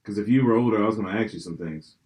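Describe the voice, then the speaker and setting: A man's voice: fairly low pitch, racing. A young man from Pennsylvania hosting a podcast, telling rambling stories in casual, profane chat. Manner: profane, rambling, animated storytelling. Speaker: podcast host.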